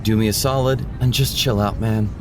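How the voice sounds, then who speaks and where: A man's voice: on the low side, fairly even intonation, moderate pace, background noise, lightly acted. A man playing a sleazy airline passenger who flirts smugly with the flight attendant. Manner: smug, leering. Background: music.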